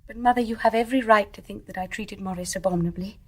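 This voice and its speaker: An actress playing an anguished, impassioned young wife in a radio drama, earnest and emotional. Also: female voice, medium pitch, fast, fairly bright tone, lightly acted. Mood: ashamed, pleading. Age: young adult. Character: anguished, impassioned young wife, ashamed yet defiant in love. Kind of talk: stage-play radio drama acting.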